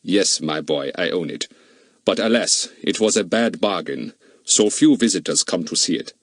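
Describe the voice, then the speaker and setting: Storybook role: male reader as burly Austrian owner, very deep and husky, solemn, lamenting, quick to take offence. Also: animated, dry recording, studio quality. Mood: mournful. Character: big burly Austrian owner, solemn, mournful, easily indignant.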